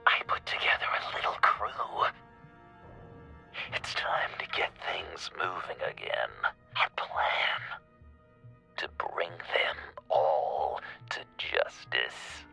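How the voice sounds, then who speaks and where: A man voicing a manic, gleeful clownish vigilante villain in a video game, lurching theatrically between whispery menace and shrill glee. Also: slow, gravelly, pushed and bright, soft background music, heavily acted. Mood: scheming, menacing.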